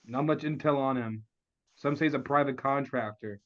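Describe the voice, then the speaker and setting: A man's voice: medium pitch, normal speed, natural voice. Man as a stern agency chief, lo-fi call. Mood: grave briefing. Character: businesslike, stern male secret agency chief. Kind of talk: comedy screenplay table read. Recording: dull, lo-fi online call.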